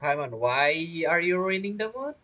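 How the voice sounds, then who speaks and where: A woman's voice: lowish voice, lively intonation, moderate pace, dull audio, background noise. A woman giving casual, joking commentary as she plays through a video game story. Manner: playful, joking. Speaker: gamer reacting to a story quest.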